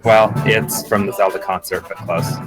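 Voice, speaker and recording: A man co-hosting a casual podcast while running the recording, practical and low-key. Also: fairly even intonation, normal speed, music in the background. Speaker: podcast co-host running the audio. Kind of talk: casual livestream podcast chat.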